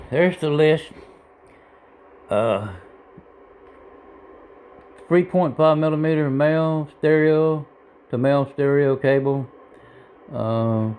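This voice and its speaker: A man giving an informal hands-on tutorial in a halting, plain-spoken, self-deprecating way, recorded indoors on a handheld device. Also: mid-range voice, a little mellow, rough recording. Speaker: hobbyist video tutorial presenter. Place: indoors at a home radio bench. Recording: clear background noise, room ambience.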